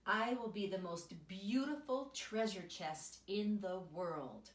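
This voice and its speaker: A woman's voice: mid-range voice, expressive, slow pace. Lady reading as a proud little boy tree from a storybook, earnest big dreamer, roomy sound. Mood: proud, hopeful. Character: proud, ambitious young male tree dreaming of treasure. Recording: roomy echo, distant microphone.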